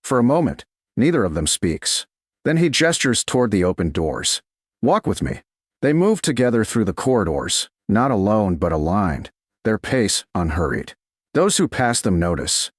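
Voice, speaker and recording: A man reading a romance story in a slow, measured narration style. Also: lowish voice, breathy edge, dry recording, clean studio sound.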